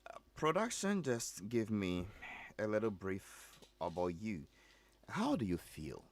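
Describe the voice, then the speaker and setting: A man's voice: lowish voice, wildly swooping pitch, unhurried. A man hosting a radio talk show, teasing his panel and often laughing. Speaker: radio entertainment show host. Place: radio studio. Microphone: studio microphone.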